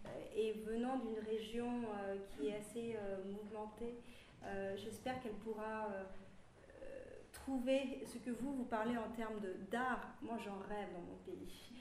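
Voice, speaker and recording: A woman's voice: medium pitch, noisy background. A woman from Turkey speaking in a public discussion, with many hesitations and fillers. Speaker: panelist. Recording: roomy, distant from the mic.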